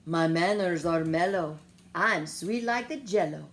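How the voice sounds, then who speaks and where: A woman voicing an excitable boy kitten who poses as a bold Chihuahua hero in a children's storybook, boastful and singsong. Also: lowish voice, measured pace. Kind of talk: children's storybook read-aloud. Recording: some background noise.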